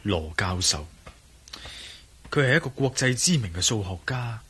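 An actor playing an earnest, preoccupied adventurer and investigator in a Cantonese radio drama, with a Hong Kong accent. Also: male voice, lowish voice, animated, normal speed, a bit bright, a little hiss or hum. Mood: pondering, tense.

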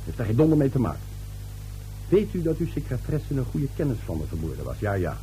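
A middle-aged family man in a radio drama, played by an actor, brusque and domineering. His voice is mellow and swings widely in pitch as he scoffs and waves things away. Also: big pitch swings, quick pace, slight background noise, close to an everyday voice, low-quality recording. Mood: irritated, brusque. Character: domineering, dismissive middle-aged father and husband. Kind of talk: radio play acting.

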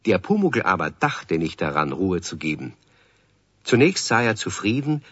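A man with a husky voice narrating a children's radio play as a scripted story. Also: deep voice, a bit bright, no room sound, heavily acted. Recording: dry, muffled 1970s radio recording.

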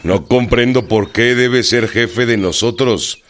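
A man's voice: mid-range voice, slow pace, lightly acted. A man acting in a radio drama as a blunt, defiant, folksy Mexican revolutionary general, plain-spoken and given to grunts.

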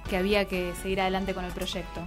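A woman's voice: mid-range voice, level tone, fast talker. A woman with an Argentine Rioplatense accent hosting a radio show in casual, playful, ironic banter. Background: music.

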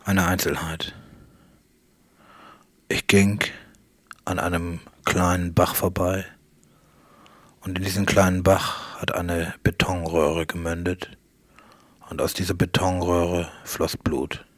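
A man speaking reflective spoken-word radio prose in halting fragments, with a husky voice. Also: sits low, little pitch movement, fairly bright tone.

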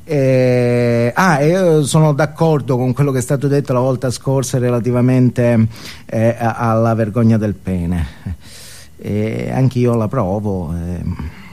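A man's voice: medium pitch, warm tone, pitched below the performer's own voice, lightly acted. Actor as a timid, stammering man confessing. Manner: halting, stammering, apologetic. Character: timid, ashamed man confessing at a men's support group. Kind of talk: theatrical comic monologue as group confession.

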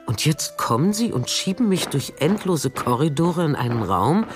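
An actress playing a starved, subdued woman prisoner in a radio drama, speaking in a halting, deliberate way. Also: low voice, big pitch swings, faint music behind. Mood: awed, recounting. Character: starved, subdued female prisoner back from Siberian camp. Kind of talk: radio drama acting and first-person narration.

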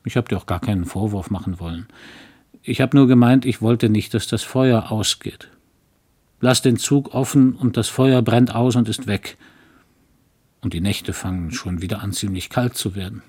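A man plays a good-natured, plodding small-town doctor who rambles on and grumbles mildly. His voice is low and husky. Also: moderate pace, darkish tone. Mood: mild grumbling. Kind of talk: audiobook reading.

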